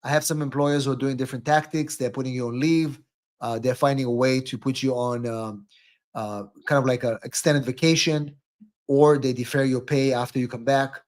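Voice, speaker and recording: A man's voice: medium pitch, fairly even intonation, normal speed. Man casually hosting a live advice stream. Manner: repeats words, tags sentences with "right?". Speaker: immigration attorney hosting a live call-in stream.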